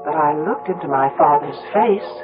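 An actress playing a sensible, skeptical grown daughter in a 1970s radio drama, earnest and questioning. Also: female voice, deep voice, expressive, moderate pace, narrow, tinny audio, over music. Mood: grave narrating. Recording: band-limited old radio broadcast.

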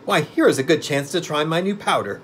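A man's voice: medium pitch, fast, slight background noise, pitched above the performer's own voice, natural voice. A man voicing a gleeful, scheming old witch woman for a storybook reading. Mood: eager. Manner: shrieking and cackly when excited, scolding.

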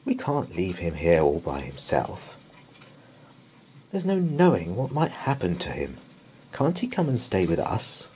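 A man's voice: low-pitched, wildly swooping pitch, a little slow, darkish tone, a little hiss or hum, exaggerated voice. A man voicing a kindly, fretful married woman in a storybook, exclamatory and fussing. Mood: pleading.

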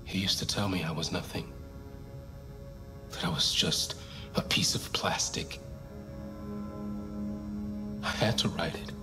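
An actor playing a traumatized, bitter and fearful male android, confessing in a halting, breathy whisper in a video game. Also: sits low, steady tone, music in the background, lightly acted. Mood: bitter, hurt. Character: traumatized male android who killed his abusive owner, fearful and bitter. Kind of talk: dramatic video game acting.

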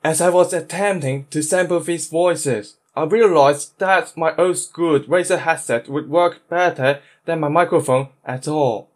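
A man's voice: a little high, unhurried, hardly any pauses. A man reading a scripted monologue as an amateur voice imitator, attempting a British accent in imitated game character voices.

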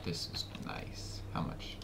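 A man with a raspy voice, casually commenting while he plays a game, joking and muttering asides. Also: slow pace. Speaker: gameplay commentator. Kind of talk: casual let's play commentary. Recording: noisy home recording with game sounds.

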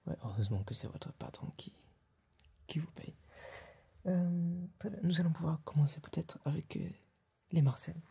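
Young man whispering, husky and breathy. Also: fairly high pitch, animated, warm tone. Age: young adult. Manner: polite, fussy, hesitant with many pauses. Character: polite, fussy male tailor serving a client. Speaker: ASMR performer playing a tailor. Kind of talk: French ASMR roleplay, whispered. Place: small room dressed as a tailor's workshop. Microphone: close ASMR microphone.

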